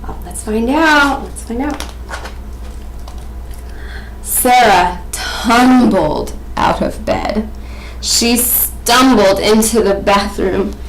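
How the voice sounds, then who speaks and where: A woman's voice: a little high, drawn-out words, long pauses. Young woman teaching in an echoey room. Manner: expressive, questioning. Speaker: teacher presenting a read-aloud. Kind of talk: interactive picture-book reading and explanation for children. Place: small domestic room indoors. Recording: echoey room, faint background noise.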